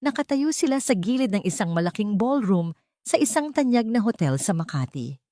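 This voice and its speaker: A woman's voice: mid-range voice, sing-song, normal speed, dark tone, no echo, studio quality. A woman with a Filipino accent giving a dramatic scripted narration of a story. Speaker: narrator of audio drama stories.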